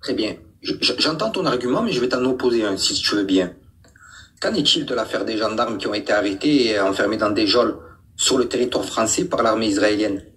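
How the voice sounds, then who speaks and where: A French-born man of Kabyle descent, a guest on a live stream debate, arguing back in a measured, polite way. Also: lowish voice, expressive, fairly bright tone. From France, of Algerian Kabyle descent.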